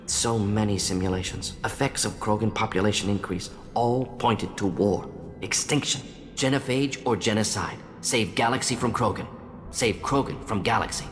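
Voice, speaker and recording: A man's voice: fairly low pitch, normal speed, natural voice. A man acting as a brilliant, defensive and remorseful alien scientist in a video game, speaking in clipped, analytical fragments that drop small words. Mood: earnest, insistent. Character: fast-thinking alien scientist doctor, brilliant, defensive, remorseful male Salarian. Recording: long reverb with music underneath.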